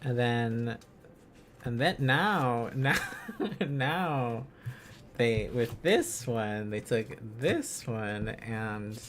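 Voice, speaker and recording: A man's voice: medium pitch, expressive, drawn-out words, slight background noise. A man live-streaming a casual lesson, thinking aloud in a hesitant, rambling, playful way.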